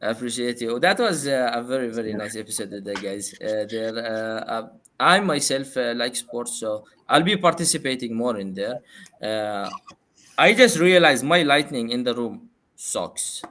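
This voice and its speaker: A man's voice: mid-range voice, expressive, normal speed, bright, forceful tone, slight background noise. A man with an Arabic accent hosting a casual livestream chat from a room at home, talking loosely with many fillers. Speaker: show host.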